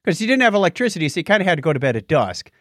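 Male podcast co-host, jokey and casual, animated delivery, dry close sound. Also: fairly high pitch, rapid-fire, no room sound. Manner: jokey, teasing. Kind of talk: casual light-hearted podcast chat.

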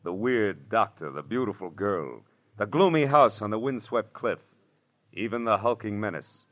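An American actor playing a shrewd, world-weary private detective in 1940s radio drama, with a clipped, wry, emphatic delivery. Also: male voice, lowish voice, lively intonation, normal speed. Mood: sardonic narration. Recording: muffled old radio broadcast, music behind.

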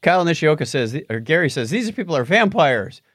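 A man's voice: a little high, big pitch swings, brisk, a bit bright, no room sound. A man co-hosting a casual podcast, talking in a jokey, teasing way. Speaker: podcast co-host. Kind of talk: casual podcast chat with patron thank-yous.

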